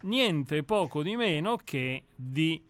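Slow, lively-toned male radio guest. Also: fairly high pitch, no echo. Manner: courteous, unhurried. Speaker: studio guest. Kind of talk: live radio chat. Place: radio studio.

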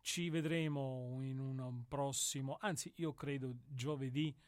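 A man chatting casually as a radio studio guest, halting and repeating his words. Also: medium pitch, fairly even intonation, no echo.

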